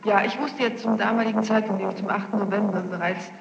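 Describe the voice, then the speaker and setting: A woman's voice: medium pitch, fairly even intonation, quick pace, creaky. Woman on the witness stand, defensive, careful with wording, noisy old tape in a big echoey room. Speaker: witness under questioning. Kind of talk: courtroom testimony. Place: large reverberant courtroom. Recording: muffled, noisy, echoey archival courtroom tape.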